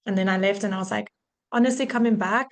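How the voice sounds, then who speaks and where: A woman's voice: medium pitch, steady tone, fast. South African woman, podcast guest. Mid-range voice, casual, blunt and sweary delivery. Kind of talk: casual chat with a friend.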